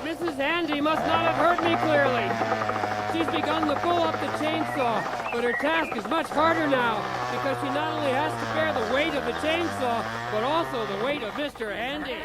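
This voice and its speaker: A man's voice: very high-pitched, normal speed, loud music behind the voice, rough recording. A man playing a chipper, unflappable husband who hosts a comic do-it-yourself radio show in a cheerfully formal instructional way. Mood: alarmed, strained. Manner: cheerfully formal instructional delivery, calm amid disasters. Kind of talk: radio comedy sketch acting, parody how-to narration.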